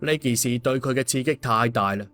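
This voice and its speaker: A man's voice: mid-range voice, brisk, a bit bright. Male storyteller over faint music. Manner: colloquial, with sentence-final particles. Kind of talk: scripted audiobook narration.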